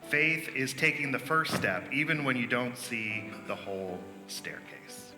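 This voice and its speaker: Guy reciting quotes, medium pitch, echoey hall, music behind. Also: animated, a little slow. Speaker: church member reading quotes. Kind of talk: recited quotations.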